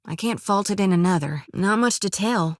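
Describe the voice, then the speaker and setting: An actress voicing a folksy, earnest young priestess of a death god in a video game, with a drawl, rambling and plainspoken. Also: female voice, mid-range voice, moderate pace, no room sound, studio quality, close to an everyday voice.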